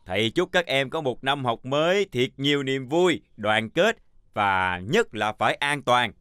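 A man's voice: mid-range voice, animated, measured pace, fairly bright tone, smooth, no echo, studio quality. A man playing a strict but fair older chalk-stick schoolteacher in a children's cartoon, speaking formally and with authority. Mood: approving, formal. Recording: background music under voice.

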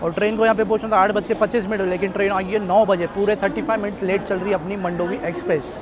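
A man's voice: high voice, steady tone, racing. A man in his thirties, a travel vlogger chatting to viewers as he rides a train, filmed on a phone at arm's length. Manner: chatty, addresses viewers as guys. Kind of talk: casual train travel vlog. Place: train coach and station platforms. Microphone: phone held at arm's length. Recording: loud train and station noise.

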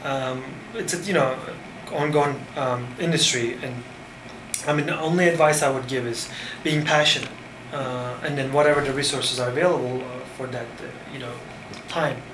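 A man in his thirties from Turkey, Turkish native, speaking English with a Turkish accent in a casual interview, pausing often with fillers. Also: medium pitch, steady tone. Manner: thoughtful, halting with many fillers. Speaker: design firm founder. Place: cafe-like room with framed wall, indoors. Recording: echoey room with background noise.

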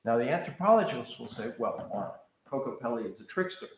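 An older man from the American Pacific Coast lectures in a big hall, his middle-pitched voice bouncing around with heavy echo. He talks in a loose, digressive way, recorded from a distance. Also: animated, a little fast, slight background noise. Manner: conversational, digressive, gestures while pacing. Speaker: professor leading a master class. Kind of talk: lecture to a seated audience. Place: large lecture hall with red seats. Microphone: far camera.

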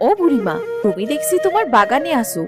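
A woman's voice: fairly high pitch, sing-song, fast. A woman voicing a neighbourly village housewife in a cartoon. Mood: friendly, coaxing. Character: neighbourly village wife asking for vegetables. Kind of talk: cartoon dialogue. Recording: clear music under the voice.

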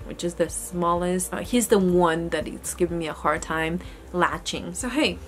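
A young woman talking casually to the camera in an indoor room, chatty and earnest, often restarting her sentences. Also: fairly low pitch. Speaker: homesteading vlogger. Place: indoor room with goat pen, daytime. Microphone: camera close in front.

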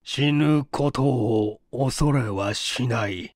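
A man voicing a frail, stubborn, imperious old invalid in an audiobook, speaking slowly and haltingly with a flat, toneless delivery. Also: medium pitch, no echo, clean studio sound. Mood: grave, weary. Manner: slow, halting, weighty speech. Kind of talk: dramatic audiobook character reading.

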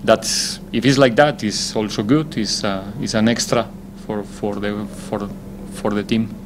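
A man, a football head coach, answering press conference questions in a measured, deliberate way, often pausing to find his words. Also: lowish voice, steady tone, a little hiss or hum.

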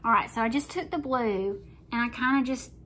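A woman's voice: on the high side, fairly quick, sharp tone, a little hiss or hum. Chatty Southern woman painting at home. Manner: chatty, folksy, talks while working. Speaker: craft painting host. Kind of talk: casual painting tutorial. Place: home kitchen table, indoors.

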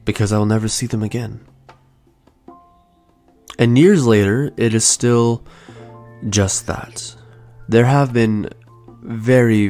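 A young man in his twenties hosting a podcast, telling his own story in a soft, slow, soothing way. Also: on the low side. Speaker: podcast host. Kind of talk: calm reflective storytelling. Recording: faint lo-fi music bed. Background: soft lo-fi music.

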